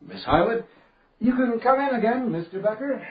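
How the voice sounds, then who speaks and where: An actor playing a young, authoritative judge in a radio crime drama, dryly courteous and curt. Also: male voice, very high-pitched, expressive, normal speed, breathy edge, muffled recording, heavily acted. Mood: urbane, courteous. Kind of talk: detective radio drama acting.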